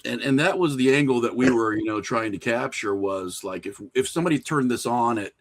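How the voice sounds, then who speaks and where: A man's voice: medium pitch, brisk. A man talking informally as an interview guest, telling anecdotes in a self-deprecating, conversational way. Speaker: podcast guest, indie filmmaker.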